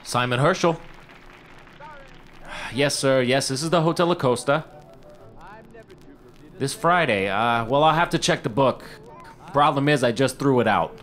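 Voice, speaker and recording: An actor playing an eager, fast-talking struggling screenwriter at a hotel desk in a period radio drama, wisecracking and pleading, stammering when flustered. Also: male voice, mid-range voice, a bit bright, faint music behind, close to an everyday voice. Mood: wry, evasive.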